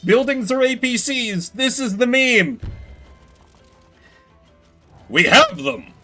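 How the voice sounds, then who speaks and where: A man streaming a video game, commenting excitedly and swearing freely. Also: extremely high pitch, takes it slowly. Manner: profane, animated. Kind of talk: excited gaming livestream commentary. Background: game music.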